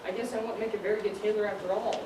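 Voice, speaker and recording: A man's voice: sits high, fairly even intonation, racing, close to an everyday voice. A man on stage playing a meek, apologetic, hesitant and clumsy sidekick. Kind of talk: amateur stage acting, one-act school play. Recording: echoey stage, distant mic, clear noise.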